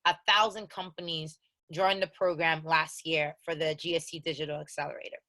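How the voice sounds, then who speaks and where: A woman's voice: low-pitched, level tone, a little fast, sharp tone, no room sound. A woman in her thirties with an American accent, a co-founder giving an online program orientation in a conversational, direct way and repeating key instructions. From Haiti. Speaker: accelerator co-founder presenting orientation.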